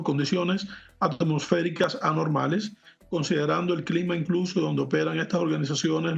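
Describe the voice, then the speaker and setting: A man speaking as a technology expert guest, explaining things in a measured, didactic and precise manner. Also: fairly high pitch, vocal fry. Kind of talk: explanatory interview commentary. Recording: muffled lo-fi audio, music behind.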